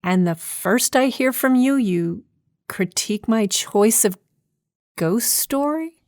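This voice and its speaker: A woman's voice: a little high, expressive, takes it slowly, a little mellow, no room sound, studio quality. A woman hosting a podcast as herself, talking informally in a hesitant, conversational, wry way. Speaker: podcast creator and host, playing herself. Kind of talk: informal podcast talk in an audio drama.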